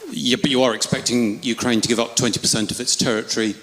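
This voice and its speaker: A man at a debate, posing measured, pointed questions. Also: medium pitch, fairly even intonation, a bit bright. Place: large debating hall. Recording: reverberant hall recording.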